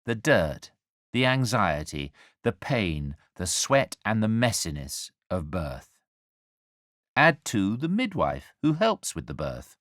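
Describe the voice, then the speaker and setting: A compassionate, knowing male angel telling a story for radio. His voice sits fairly low and moves expressively through its range, measured and wryly confiding. The sound is close and dry, as if he speaks straight to one listener. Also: big pitch swings, a bit bright, no echo, heavily acted. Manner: measured, wry, confiding, addresses the listener directly. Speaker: narrator. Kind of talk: dramatic scripted storytelling for radio.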